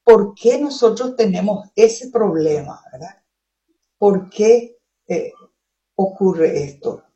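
A woman's voice: mid-range voice, slow pace, mellow, highs cut off. A woman from the Chaco with a Paraguayan Spanish accent and Guaraní as her mother tongue, discussing as an interviewer and often seeking agreement. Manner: opinionated, frequently seeks agreement with tag questions.

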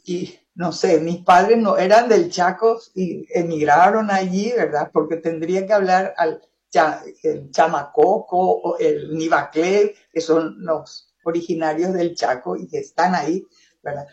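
A man's voice: high-pitched, a little slow, a little mellow, dull audio. A man from Paraguay with a Paraguayan Spanish accent, a native Guarani speaker, talking reflectively in a casual conversation and often adding tag questions.

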